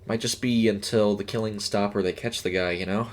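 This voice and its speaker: Male narrator as young female burger cook, nervous, hesitant, soft-spoken, audio story. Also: lowish voice, steady tone, brisk, lightly acted. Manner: hesitant, quiet, casual. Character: nervous young woman, fast food kitchen worker.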